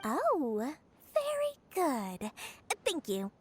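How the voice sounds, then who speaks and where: A woman voicing a petite, cunning, money-loving merchant in a video game, with theatrical, drawn-out sales patter. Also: high-pitched, huge pitch swings, slight background noise, full character voice. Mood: pleased. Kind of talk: animated video game dialogue.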